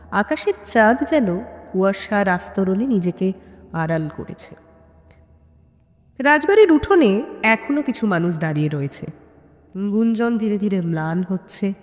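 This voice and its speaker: A woman narrating a scripted suspense story in an expressive, dramatic manner. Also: medium pitch. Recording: long hall-like reverb.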